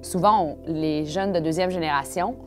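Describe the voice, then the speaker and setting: A woman's voice: sits low, moderate pace, over music. A woman artist speaking emphatically in a documentary interview. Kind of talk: casual testimony.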